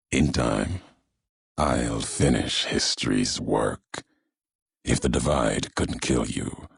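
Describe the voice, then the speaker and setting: An actor playing a brooding, vengeful wasteland wanderer obsessed with history in a video game, speaking in cryptic, clipped phrases with weighty pauses. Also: male voice, exaggerated voice. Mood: brooding menace. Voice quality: very deep, gravelly. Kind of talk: slow brooding game dialogue monologue. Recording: heavily echoing voice, faint background noise.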